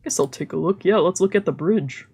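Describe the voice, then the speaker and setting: A woman's voice: lowish voice, a little fast, warm tone, breathy edge. A woman streamer casually reading a visual novel aloud and commenting as she plays. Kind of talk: casual let's play with narration.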